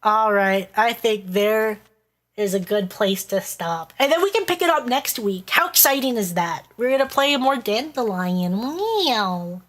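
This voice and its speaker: A woman's voice: mid-range voice, expressive, a little slow, fairly bright tone. Stuffy-voiced woman streamer reading a game. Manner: playful, reads dialogue aloud and breaks off to joke. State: stuffy, congested voice. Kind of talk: reading a visual novel aloud with live chat.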